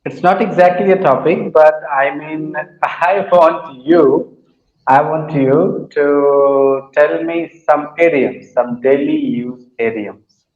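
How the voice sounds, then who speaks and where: A man's voice: on the high side, takes it slowly, echoey room, dull audio. A man from India whose first language is Maithili, chatting on a video call as an English learner, hesitant and repeating his words. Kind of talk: casual video-call chat.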